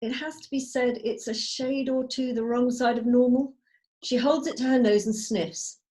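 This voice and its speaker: A woman reading out screenplay stage directions as measured, storytelling narration. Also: a little high, fairly even intonation, mellow, rough recording. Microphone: video call.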